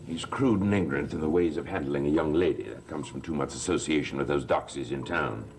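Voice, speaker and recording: A man's voice: rumbling low, fairly quick, gravelly, darkish tone, slight background noise. An actor playing an overbearing stepfather who talks in a coaxing, insinuating way, from an old television soap opera. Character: smooth, overbearing stepfather of the house. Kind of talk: 1970s soap opera acting.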